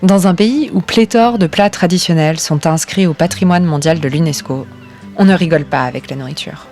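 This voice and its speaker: A woman hosting a podcast, reading a scripted introduction in a measured way. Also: on the low side, a little mellow, faint music behind. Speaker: podcast host. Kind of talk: scripted narration.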